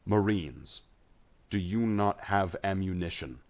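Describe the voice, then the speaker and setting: A man voicing a stern, commanding space marine in a narrated war story, giving clipped and forceful military orders. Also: low-pitched, animated, slow, full character voice. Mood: stern, questioning.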